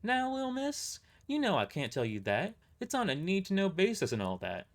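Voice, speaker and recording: A man's voice: high-pitched, huge pitch swings, normal speed, pitched above the performer's own voice, heavily acted. A man voicing a chatty, doting older female receptionist with a folksy rural twang in an audio drama. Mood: folksy, harried. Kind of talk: amateur audio drama character voice.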